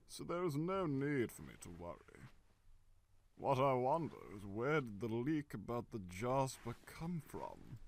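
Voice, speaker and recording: A man playing a scheming, resentful official who mutters to himself in a radio play. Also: medium pitch. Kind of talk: radio play acting, fan production.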